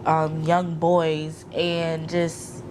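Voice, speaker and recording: A woman's voice: sits low, completely flat delivery, drawn-out words, noisy background. A woman co-hosting a casual chat podcast, blunt and emphatic, swearing freely. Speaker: podcast co-host.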